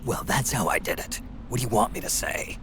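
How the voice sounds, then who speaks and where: An actor playing a weary, stubborn aging soldier in a video game, with a gravelly rasp and terse deadpan delivery. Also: male voice, medium pitch, a little fast, a little hiss or hum, close to an everyday voice. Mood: dry, guarded. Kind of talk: video game cutscene acting.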